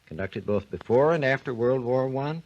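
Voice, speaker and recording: A man, a research engineer and guest, explains his subject in a measured, lecturing way on a scripted 1940s radio talk program. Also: medium pitch, lively intonation, a little mellow, highs cut off.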